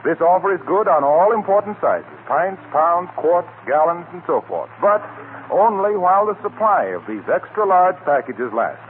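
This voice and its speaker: A man's voice: high-pitched, sing-song, moderate pace. A man with an American accent, an announcer reading a 1940s radio advertisement in an emphatic, polished sales delivery. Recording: tinny 1940 radio broadcast, faint noise.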